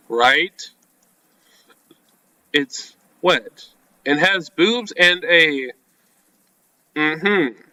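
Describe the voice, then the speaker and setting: Male let's-player, forward bright tone, informal read-aloud of visual novel text with off-the-cuff reactions, very dry recording. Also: fairly high pitch, dry recording, rough recording. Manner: informal, reacts with asides between read lines. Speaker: video game let's-player. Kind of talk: reading visual novel script aloud with casual commentary.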